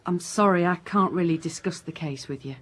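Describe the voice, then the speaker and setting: A woman playing a guarded, firm police inspector in a naturalistic radio drama, speaking in a measured, dry way. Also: on the low side, background noise, lightly acted. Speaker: actor playing a police detective.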